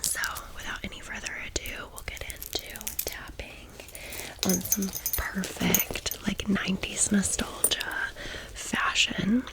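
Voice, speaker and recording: Whispering American woman, slow and creaky. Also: medium pitch, flat delivery, low-quality recording. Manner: chatty, playful, with slangy phrasing. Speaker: ASMR video host. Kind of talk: whispered ASMR storytime and haul.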